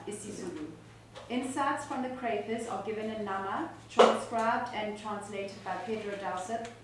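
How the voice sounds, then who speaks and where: A woman academic with a South African accent reads reviews aloud at a book launch, measured and unhurried, with small restarts. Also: medium pitch, slight background noise. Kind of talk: reading aloud to an audience. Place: book launch venue, echoey room. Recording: echoey room from far off.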